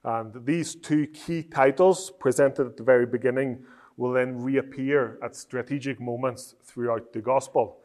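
A man from Northern Ireland with a Northern Irish accent, giving a measured, explanatory teaching talk on preaching. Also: mid-range voice. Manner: measured, explanatory, with frequent restarts.

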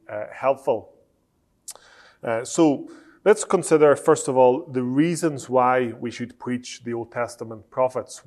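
Thirties Northern Irish man, Italian first language, Bible college lecturer; measured teaching delivery, slows for emphasis. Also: medium pitch, darkish tone. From Northern Ireland. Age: 30s. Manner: measured, slowing down for emphasis, frequent "uh" fillers. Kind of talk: lecture to preachers.

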